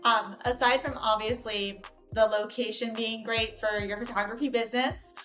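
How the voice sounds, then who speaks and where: A chatty woman hosting a podcast, her voice sitting on the high side. She talks loosely, packing her sentences with "like" and "you know". Soft music plays faintly behind her. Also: steady tone, normal speed. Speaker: podcast host and realtor. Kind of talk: casual interview chat. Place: Orange County, California.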